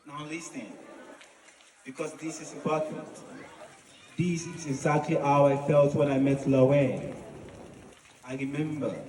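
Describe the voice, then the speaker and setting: Male monologist, solemn declamatory oratory, measured phrasing; roomy, slightly distant recording over music. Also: on the high side, dark tone. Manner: solemn, deliberate, declamatory with pauses. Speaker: spoken word monologist. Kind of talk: poetic dramatic monologue, oratory. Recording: echoey, distant voice with music behind.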